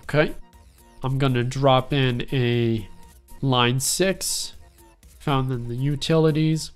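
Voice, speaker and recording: A young man with a congested, stuffy voice talks through a synth patch in a loose, easygoing way, filling gaps with little fillers as he goes. His pitch sits right in the middle for a man, and quiet synth music plays faintly underneath. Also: slow, clean tone. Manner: relaxed, rambling explanation with frequent fillers. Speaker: music production tutorial host. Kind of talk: casual screen-recorded software tutorial.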